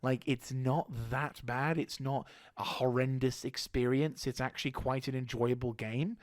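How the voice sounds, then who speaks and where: A man with a slightly hoarse throat giving a casual channel update as a gaming YouTuber, rambling and halting with frequent restarts. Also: mid-range voice, steady tone, dry recording, clean studio sound.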